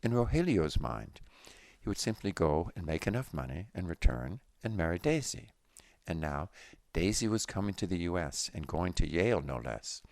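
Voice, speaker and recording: Male story reader, bass register with heavy creak, scripted literary narration. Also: big pitch swings, moderate pace, a little mellow, dry recording. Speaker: audiobook-style reader of a story.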